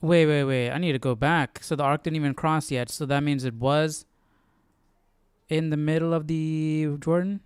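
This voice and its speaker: A man hosting a casual Bible study stream, reading aloud and thinking out loud. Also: fairly high pitch, steady tone, normal speed, clean tone, no room sound, clean studio sound. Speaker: Bible study livestream host.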